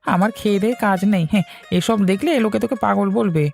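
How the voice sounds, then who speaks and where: A man's voice: high-pitched, quick pace, creaky, a little mellow, faint music behind. A man playing a blunt, stubborn village farmer in an educational cartoon. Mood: scornful. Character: scoffing, stubborn village farmer, neighbour of the hero.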